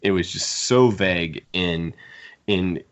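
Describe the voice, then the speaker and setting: A man's voice: low-pitched, slow, dull audio. A man co-hosting a podcast, giving critical opinions in casual book discussion. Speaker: podcast host.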